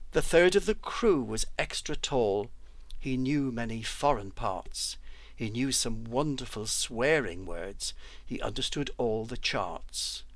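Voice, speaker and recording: A man giving an expressive poetry recital with careful, deliberate articulation. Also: medium pitch, no echo. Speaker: poetry reader who has trained actors.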